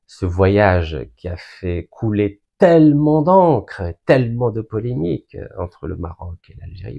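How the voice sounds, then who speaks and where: Slow gravelly low-voiced man. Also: mellow, dull audio. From Algeria. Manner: emphatic, deliberate, building lists. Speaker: political speaker. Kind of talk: public speech.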